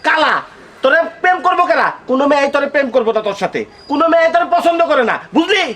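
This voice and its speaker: A man plays a scolding village father in a comedy drama. He talks quickly in a very high, exasperated, mocking voice. Faint outdoor noise sits behind him. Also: expressive, fairly bright tone.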